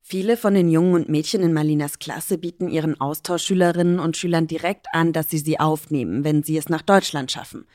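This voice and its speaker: A woman narrating a news report in a steady, measured, scripted way. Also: sits low.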